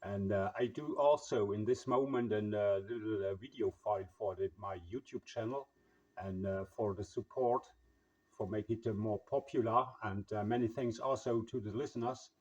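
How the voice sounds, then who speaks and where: A man with a husky voice, chatting casually over radio in halting, English. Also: fairly low pitch, darkish tone. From Germany. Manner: halting, non-native English phrasing. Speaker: amateur radio operator and video maker. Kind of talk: casual radio contact chat. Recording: slightly dull, lo-fi sound.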